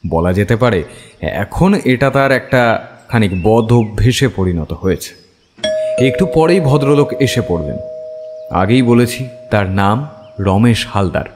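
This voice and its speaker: A man playing a detective's wry, chatty companion who narrates the case as an expressive first-person story. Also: fairly low pitch, a little slow, long pauses, in a large hall, music in the background. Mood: wry, reflective.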